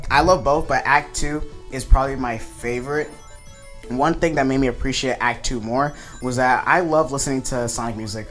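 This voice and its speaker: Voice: medium pitch, a bit bright. Casual game reviewer, mid-range voice of unclear gender, gushing and rambling, music playing behind. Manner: enthusiastic, emphatic, rambling. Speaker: video creator reviewing a game.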